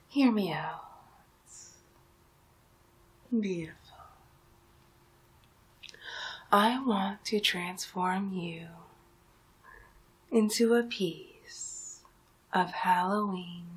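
A woman in her thirties playing a seductive, coaxing Halloween monster in a breathy whispered ASMR roleplay, drawing out her words close to the microphone. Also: fairly low pitch, exaggerated voice. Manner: slow, coaxing, drawn-out words.

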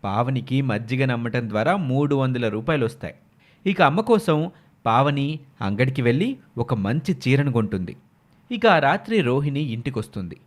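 A man's voice: mid-range voice, animated, moderate pace. A man narrating a scripted moral story as a dramatic storyteller.

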